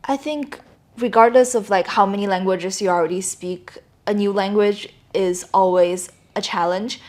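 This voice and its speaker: A young woman from China, Chinese her first language, answering interview questions in a relaxed, thoughtful way with frequent fillers. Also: medium pitch, normal speed. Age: young adult. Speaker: student guest.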